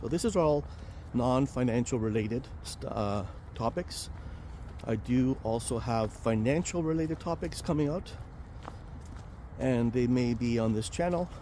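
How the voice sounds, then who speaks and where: A man hosting a casual vlog while walking outdoors near a highway, chatty and rambling with many fillers and restarts. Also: a little slow, mellow, slight background noise. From Romania. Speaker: vlog host, financial planner.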